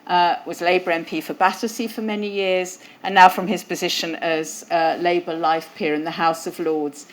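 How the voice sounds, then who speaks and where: A woman's voice: on the low side, fairly even intonation, normal speed, poor audio. A woman hosting a museum event and introducing speakers, talking conversationally with some fillers into a lapel mic. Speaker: event host. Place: event hall.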